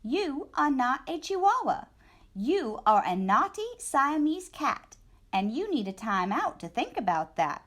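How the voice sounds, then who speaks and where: A woman's voice: on the high side, sing-song, slow pace, a bit bright, exaggerated voice. Storybook mama cat, strict and coaxing, as a woman reader puts her on. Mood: scolding. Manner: firm, scolding, coaxing rhymes. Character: stern scolding mother cat.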